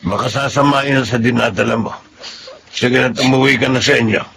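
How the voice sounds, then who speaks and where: A man's voice: mid-range voice, steady tone, brisk, creaky, noisy background, close to an everyday voice. An actor playing a kind, steadfast old retired soldier and grandfather in a Filipino radio drama, speaking patiently and earnestly with a Filipino accent. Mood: gentle, consoling.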